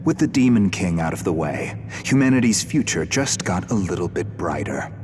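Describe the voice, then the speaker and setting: A cold, contemptuous demon hunter. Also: male voice, low-pitched, big pitch swings, normal speed, husky, exaggerated voice. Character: cold, ruthless young man, demon-fighter. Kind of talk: game cutscene acting. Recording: reverberant mix with loud game music.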